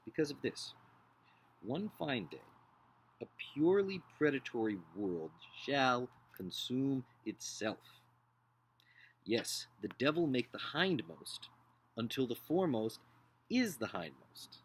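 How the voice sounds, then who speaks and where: A man playing an earnest, idealistic upper-class nineteenth-century gentleman in a dramatic book reading, solemn, deliberate and oratorical. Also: medium pitch, big pitch swings, slightly rough, full character voice, poor audio. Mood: impassioned.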